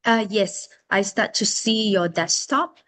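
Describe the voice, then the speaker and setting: A woman's voice: medium pitch, slow pace, fairly bright tone. A woman moderating a webinar, patiently guiding the speaker through sharing her screen. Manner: patient, helpful instructions. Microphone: video call.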